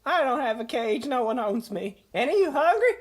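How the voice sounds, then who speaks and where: A scruffy junkie bird in a comedy audio drama. The voice is extremely high and small. It rambles crudely in slang, brash and utterly shameless, always on the scrounge. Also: female voice, sing-song, brisk. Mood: rambling, shameless. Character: foul-mouthed junkie bird, brash moocher.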